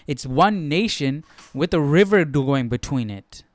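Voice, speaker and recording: A man's voice: fairly high pitch, expressive, unhurried, no room sound. A man giving casual, off-the-cuff commentary as host of a Bible study, questioning and conversational with repeated phrases.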